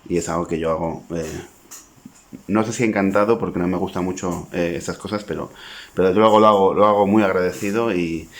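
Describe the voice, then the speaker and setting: Very deep-voiced Spanish man, reflective. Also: fairly even intonation, a little fast. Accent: Spanish (Spain). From Spain (Zaragoza). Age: 40s. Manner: reflective, modest, thinking aloud. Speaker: poet and author. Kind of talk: informal talk to camera about his book.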